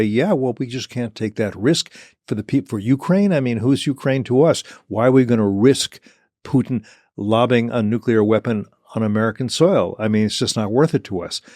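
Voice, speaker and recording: An older man hosting a discussion, building an argument with stammering restarts and sudden mock quotations. His pitch sits mid-range, and the sound is dry and close. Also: a little mellow, no echo. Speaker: host. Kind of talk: policy discussion.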